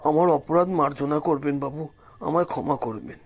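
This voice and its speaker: A man's voice: fairly high pitch, moderate pace. A man voicing a frightened, apologetic village gatekeeper in an audio story, deferential and pleading, repeating himself. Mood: fearful, apologetic.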